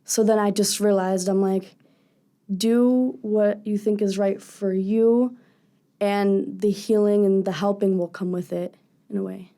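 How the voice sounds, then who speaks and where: A young woman with a low, raspy voice, a singer being interviewed on a radio show and speaking earnestly and candidly at length. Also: fairly even intonation, a little slow, warm tone. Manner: earnest, candid, talks in long thoughtful runs. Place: radio studio.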